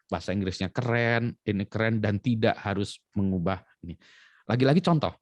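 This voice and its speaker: A middle-aged man from Indonesia, an expert guest explaining in an animated way with lots of examples and fillers. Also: lowish voice, a little fast, dry recording. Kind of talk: conversational interview explanation.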